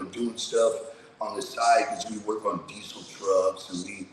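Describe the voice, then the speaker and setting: A man with a low voice telling his story in a casual, streetwise, blunt way, swearing freely, as an interview guest. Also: heavy reverb, in a large hall, a little hiss or hum, rough recording. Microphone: remote video call.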